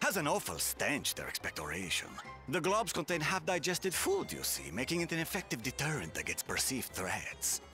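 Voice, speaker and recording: Theatrical, wildly swooping merchant leader. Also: male voice, medium pitch, huge pitch swings, normal speed, over music. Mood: lecturing. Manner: pompous, theatrical, wordy. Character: eccentric merchant leader, male, theatrical and proud. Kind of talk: video game cutscene acting.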